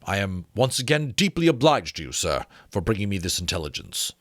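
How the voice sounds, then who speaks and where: A man's voice: fairly low pitch, sing-song, fairly quick, fairly bright tone, dry recording, clean studio sound. A man voicing a gallant, reassuring military captain with formal, courteous manners in a dramatic storybook reading. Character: gallant, reassuring British male dragon captain.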